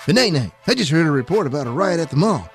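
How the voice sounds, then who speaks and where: A man's voice: a little high, very animated, quick pace, soft background music. A man voicing a whiny, mocking young sidekick in a comedy audio drama.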